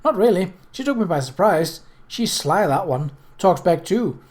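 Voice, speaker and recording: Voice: medium pitch, expressive, normal speed, darkish tone, voice pushed up, natural voice. A reader voicing a pert, defiant young maid in an audiobook, cheeky and gossipy. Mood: pert defiance. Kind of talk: acted audiobook dialogue.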